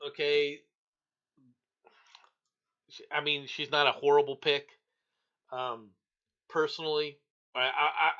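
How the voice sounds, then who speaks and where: A man's voice: fairly high pitch, bright, forceful tone, muffled recording. A male talk show host giving blunt, opinionated news commentary, repeating himself for emphasis.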